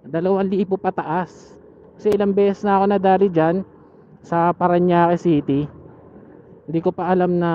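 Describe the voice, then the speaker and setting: A man with a Manila Filipino accent chatting casually and informally to his viewers as a motorcycle vlogger. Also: on the high side. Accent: Filipino, Manila area. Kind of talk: casual vlog chat to viewers. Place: outdoors on a motorcycle in city streets. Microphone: helmet intercom linked to action camera. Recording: muffled helmet intercom mic, traffic noise. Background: faint vehicle and street noise.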